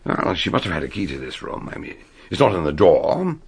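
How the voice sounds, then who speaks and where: A man playing an eccentric, shrewd detective doctor in a radio drama, deliberate and musing as he questions and narrates. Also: sits low, very animated, vocal fry, husky, full character voice. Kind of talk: radio drama acting and first-person narration.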